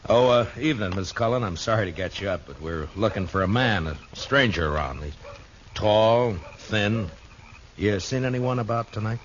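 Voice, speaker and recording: A man acting a grim, steady American marshal in a radio western, laconic and authoritative. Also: on the low side, normal speed, slight background noise, lightly acted. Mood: guarded. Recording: dull vintage 1950s radio recording with music.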